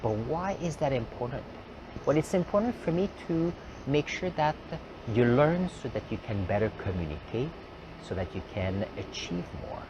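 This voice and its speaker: A man's voice: medium pitch, lively intonation, normal speed, lo-fi sound, background noise. Man thinking aloud. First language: French. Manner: reflective, thinking aloud with fillers and restarts. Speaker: host. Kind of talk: live stream talk.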